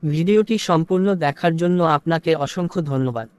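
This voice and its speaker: A man's voice: a little high, normal speed, clear, resonant tone, no echo. A man reading a scripted channel announcement to viewers. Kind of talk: scripted thank-you and subscribe message.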